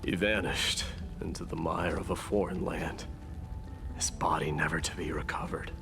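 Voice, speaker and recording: An actor voicing a stoic exiled warrior prince in a video game, speaking in a solemn, measured, reflective manner as he mourns fallen comrades. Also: extremely low pitch, fairly even intonation, vocal fry, music in the background. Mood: grave, sorrowful. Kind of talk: dramatic scripted game narration.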